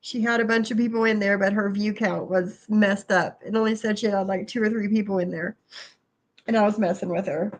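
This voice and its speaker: A woman's voice: mid-range voice, steady tone, brisk, poor audio. A middle-aged woman with a stuffy nose, chatting casually on a home livestream and greeting viewers by name. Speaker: livestream host. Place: bedroom at home, then kitchen.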